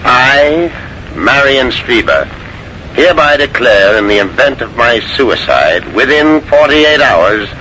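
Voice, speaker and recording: An actor playing a formal, matter-of-fact police inspector in a 1940s radio drama, measured and dry. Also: male voice, medium pitch, expressive, pushed and bright, low-quality recording. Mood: probing. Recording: hissy 1940s radio recording.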